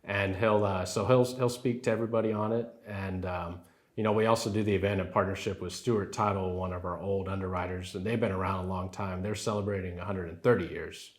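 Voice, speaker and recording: A man's voice: low-pitched, steady tone, a little fast. A man, answering interview questions in an affable way, often saying "yes sir" and using fillers. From Rio Grande Valley, Texas. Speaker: title company president.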